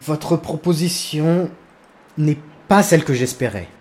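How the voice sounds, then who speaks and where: A man's voice: fairly high pitch, lively intonation, slow. Weary, desperate planetary leader, acted by a man. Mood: grudging, stern. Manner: exasperated, sighing, swearing. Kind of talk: French sci-fi audio drama acting.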